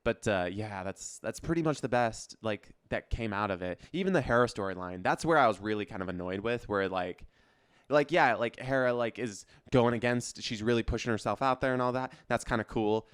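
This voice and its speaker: A man with a clean, smooth voice, recorded close and dry, chats as a podcast co-host. He is opinionated and emphatic, speaking in loose slang full of fillers. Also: lowish voice, fairly quick, no echo. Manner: emphatic, slangy, peppers speech with "like". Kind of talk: casual, opinionated pop culture chat.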